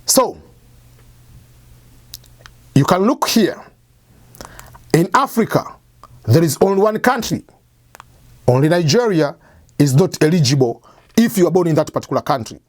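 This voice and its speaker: A man's voice: fairly high pitch, very animated, a little slow, long pauses, no echo. A man hosting an advice show, explaining step by step in a conversational way, asking and answering his own questions. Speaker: host of an immigration advice channel. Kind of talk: explanatory talk to camera.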